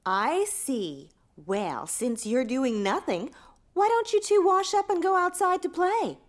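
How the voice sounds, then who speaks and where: Kindly, encouraging young boy's mother, high and animated, children's cartoon. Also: unhurried. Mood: cheerful.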